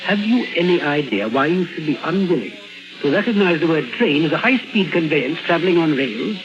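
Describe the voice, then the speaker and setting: On a muffled old radio recording with music underneath, an actor plays a male psychiatrist with brisk authority. His intonation moves widely while his manner stays formal, clinical and probing, in 1950s radio drama style. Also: a little high, lively intonation, natural voice. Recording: dull vintage radio recording with music behind.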